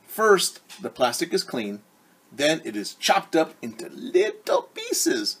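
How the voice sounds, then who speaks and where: A man's voice: a little high, wildly swooping pitch, slow, a bit bright, husky. A man in his forties reading a storybook to young children as their teacher, talking directly to them and repeating key words. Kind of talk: story time read-aloud for young children. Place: living room at home, indoors.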